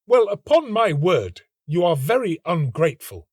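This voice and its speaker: A man voicing a lovestruck, excitable young student in a storybook, exclamatory and earnest. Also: high voice, moderate pace, breathy edge, no echo, studio quality, pitched above the performer's own voice, heavily acted. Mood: indignant.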